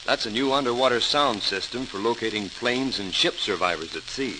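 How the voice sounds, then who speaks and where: An actor playing a cheerful, earnest young Navy lieutenant in a 1940s radio drama. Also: male voice, mid-range voice, a little fast, close to an everyday voice. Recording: hissy, crackling 1940s radio recording.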